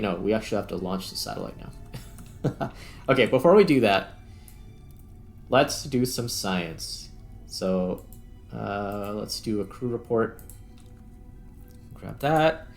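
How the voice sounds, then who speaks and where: A man giving casual gameplay commentary, thinking aloud as he plays. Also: fairly low pitch. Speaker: gaming video host.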